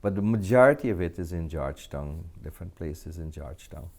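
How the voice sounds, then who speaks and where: A man with a deep voice and a Guyanese accent talks as an interview guest. He is a middle-aged actor and director, answering in a conversational, storytelling way. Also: lively intonation, brisk, creaky, darkish tone.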